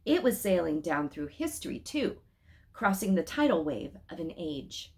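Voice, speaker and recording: A woman's voice: fairly low pitch, normal speed. Woman reading a storybook aloud. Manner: expressive, addresses listeners directly with questions. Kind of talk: storybook read-aloud with asides to young listeners.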